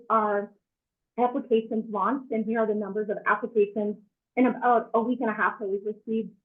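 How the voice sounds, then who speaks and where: A hoarse woman, an events director, giving upbeat updates on a community video call. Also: on the high side. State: hoarse, losing her voice. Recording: tinny phone-like call audio.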